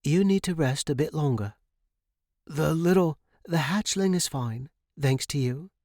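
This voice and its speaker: Man voicing a brisk, bossy but caring woman, pitched up. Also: a little mellow, no room sound, studio quality. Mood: reassuring, firm.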